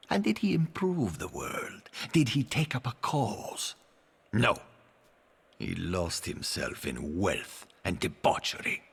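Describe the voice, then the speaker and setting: A grave preachy zealot in a game, slow and raspy, accusing, voice rises and falls a lot. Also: male voice, deep voice, heavily acted. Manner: grave, accusatory, deliberate rhetorical questioning. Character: stern, righteous male zealot, scornful and grave. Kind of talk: video game cutscene acting.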